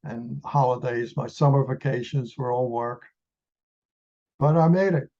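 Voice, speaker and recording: Dark-voiced man in his eighties from the Netherlands. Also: mid-range voice, unhurried, dull audio. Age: 80s. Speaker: interview guest, retired engineer. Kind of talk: reminiscing in an interview.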